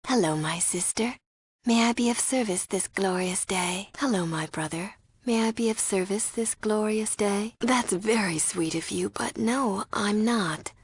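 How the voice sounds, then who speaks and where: An actress voicing a courteous, guarded woman of a devout order in a video game, soft, breathy and politely hesitant. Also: medium pitch, a little slow. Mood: serene, polite. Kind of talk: video game character dialogue.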